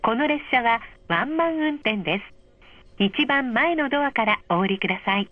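Female train announcer, higher register, polite measured delivery, slightly lo-fi onboard speaker sound. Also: animated, no room sound. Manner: polite, measured, clearly enunciated. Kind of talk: scripted automated train announcement. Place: played over train car speakers. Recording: dull, muffled train speaker recording.

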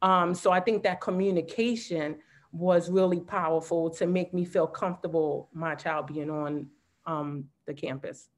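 A woman speaking as a parent on a school panel, warm and grateful with many fillers. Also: lowish voice, fairly even intonation, unhurried. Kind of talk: panel discussion.

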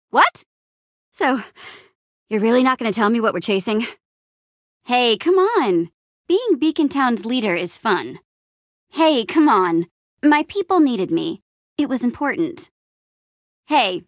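Young female game adventurer: high, very animated, plucky and expressive. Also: dry recording, clean studio sound. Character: spirited young female adventurer and town leader. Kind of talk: video game voice acting.

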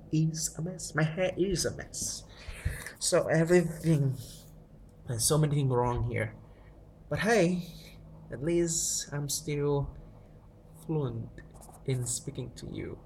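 A man's voice: medium pitch, darkish tone, a little hiss or hum. A young man, a native Vietnamese speaker, musing hesitantly into a phone held at arm's length in a dim room at night, with many restarts. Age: young adult. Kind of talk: casual selfie monologue.

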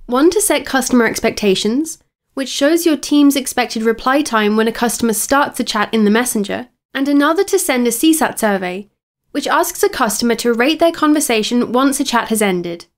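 Higher-pitched woman narrating a software tutorial. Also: animated, moderate pace. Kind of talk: scripted instructional narration.

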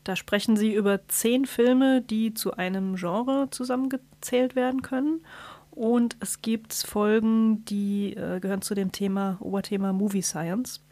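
Female podcast co-host: mid-range voice, conversational, halting explainer, recorded dry. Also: mid-range voice, fairly even intonation, mellow, dry recording. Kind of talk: casual podcast chat.

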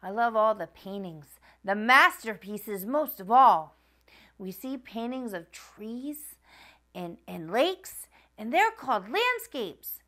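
A woman in her thirties hosting a children's storytime, reading aloud in an expressive, sing-song storytelling manner. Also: fairly high pitch, slow pace. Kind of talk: children's picture book read-aloud. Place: small furnished room at a wooden table, indoors.

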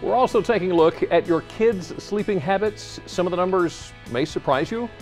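A middle-aged man co-hosting a TV magazine show in a studio, reading segments with a lively delivery. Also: high voice, normal speed, soft background music. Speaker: co-host. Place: TV studio set.

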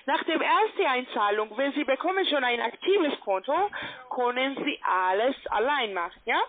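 A woman with a high voice speaks over a tinny phone line. She is a non-native speaker with a foreign accent and faulty grammar, pressing her sales pitch insistently as a call-centre adviser. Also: lively intonation, moderate pace. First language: not German. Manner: insistent, repetitive, broken grammar. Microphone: telephone.

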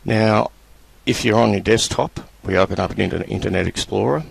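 A man presenting a screen-recorded computer tutorial, talking in a relaxed, halting way with many fillers. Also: lowish voice, creaky, husky, no echo, poor audio.

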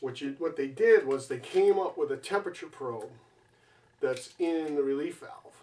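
A middle-aged man earnestly explaining home safety to a camera in a basement, often pausing with fillers. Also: on the high side, a little mellow, far from the mic. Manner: earnest, gestures with his hands, many fillers. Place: basement beside a water heater, indoors.

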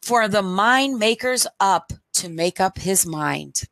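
Bright-voiced woman reading a storybook slowly. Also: medium pitch, lively intonation, vocal fry. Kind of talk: read-aloud picture book storytime. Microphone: headset microphone.

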